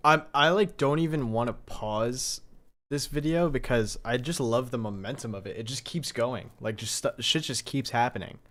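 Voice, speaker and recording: A man hosting a livestream, giving casual reaction commentary and thinking out loud in a rambling way. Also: mid-range voice, moderate pace. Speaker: livestream host reacting to a horror series.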